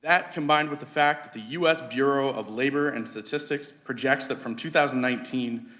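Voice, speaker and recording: Male witness at a legislative hearing, mid-pitched voice, reads formal prepared remarks with slight stumbles. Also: a little fast, sharp tone. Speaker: policy advocate testifying. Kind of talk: prepared testimony.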